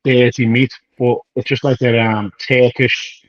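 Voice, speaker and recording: A man's voice: medium pitch, level tone, unhurried, no echo. Male bodybuilder, 30s, loose slangy rambler who re-enacts dialogue; dull, dry capture. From United Kingdom. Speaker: professional bodybuilder guest. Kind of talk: casual interview chat.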